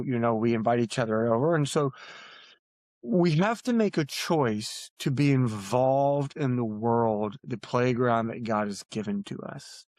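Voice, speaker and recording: A man's voice: medium pitch, darkish tone, dry recording. A man speaking as a guest author in an interview, in a reflective, storytelling way with emphatic points. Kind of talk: earnest conversational interview answers.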